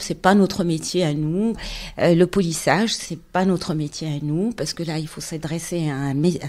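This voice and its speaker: Unhurried middle-aged woman from Morocco. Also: medium pitch, a little mellow. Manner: measured and unhurried, pausing often to find words. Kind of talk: casual interview, explaining her trade.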